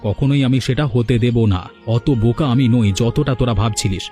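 A man's voice: medium pitch, a little fast, warm tone, soft background music, close to an everyday voice. A man voicing a boastful, arrogant young male college student in a cartoon. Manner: arrogant, condescending.

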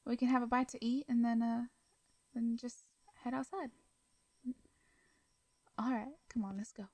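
A woman playing a caring, protective young female farmer in a roleplay audio drama, with a Southern US accent and a soft, hesitant, folksy way of talking. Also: on the high side, steady tone, unhurried, no echo. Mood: friendly, reassuring.

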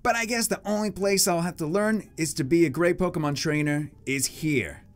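A man voicing an excitable boy hero in an exaggerated, theatrical comic-book reading. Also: on the high side, normal speed, lightly acted. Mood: curious, earnest. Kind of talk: dramatic comic-book reading with funny voices.

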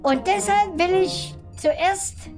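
Lady doing a high bleaty billy goat, super polite and wordy, voice jumps around, fairy tale radio play w music. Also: slow pace, darkish tone. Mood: polite, evasive. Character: male billy goat, bleating, polite, earnest, self-sacrificing. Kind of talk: audio fairy-tale parody acting.

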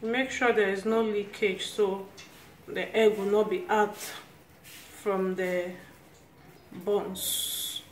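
Woman, Nigerian accent, casual cooking demo, unhurried instruction, roomy kitchen, noisy phone recording. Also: medium pitch, fairly even intonation. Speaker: home cook, host of a cooking channel. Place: small tiled home kitchen, indoors.

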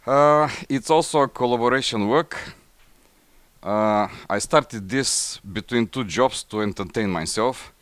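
A middle-aged man with a Bulgarian accent gives a technical slide presentation, speaking haltingly with frequent pauses. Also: mid-range voice, a bit bright, clean tone, no echo. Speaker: conference presenter, engineer. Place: indoor forum room, seated at a table before a banner.

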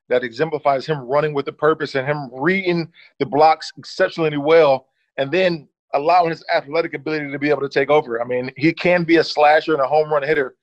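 A man in his thirties with a slightly higher voice breaks down football film in a relaxed, conversational way. His audio is dry and a little muffled, like a video call. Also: no echo. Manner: relaxed, leans on "certainly" and "uh". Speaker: former running back, analyst guest.

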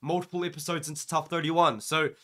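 A young man vlogs from a room into a webcam, sick and losing his voice. His voice sits a little high and sounds bright and forceful. He rambles eagerly, dropping "man" and "dude" everywhere. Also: fairly quick. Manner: excited, rambling, says "man" and "dude" a lot. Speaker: MMA YouTuber. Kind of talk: casual reaction vlog.

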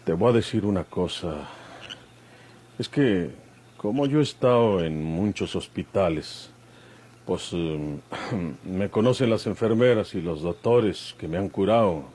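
A man's voice: low voice, a little slow, a little mellow, breathy edge. An actor playing a rugged, brooding outlaw father with a rural Mexican accent and rustic speech, in an old radio drama. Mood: guarded. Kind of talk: Mexican golden-age radionovela acting.

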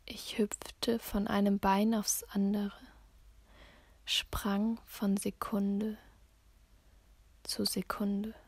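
Female poetry reader: hushed, near-whisper, even and restrained in pitch, very dry close sound, measured phrasing with long pauses. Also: slightly rough, no echo. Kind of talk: spoken-word poetry reading, slow and sparse.

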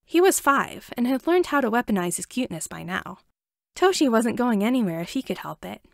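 Woman, story narration, fairly high voice, noticeable creak, dry close recording. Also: big pitch swings, a little fast, dry recording. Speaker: amateur audiobook reader.

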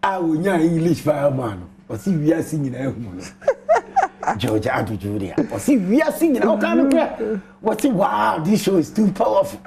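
A Ghanaian man in his thirties hosting a TV sports show in a studio, with an animated, exclamatory hype-man delivery. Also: fairly high pitch, normal speed, gravelly, a loud crowd. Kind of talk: lively TV sports talk mixing English and Twi.

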